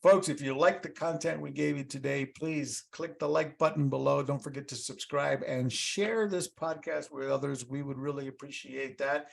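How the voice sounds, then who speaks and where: A man's voice: fairly high pitch, steady tone, moderate pace, creaky, few pauses. A male podcast host chatting casually with a guest and giving an upbeat, rambling sign-off with calls to like and subscribe. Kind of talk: casual interview chat and sign-off.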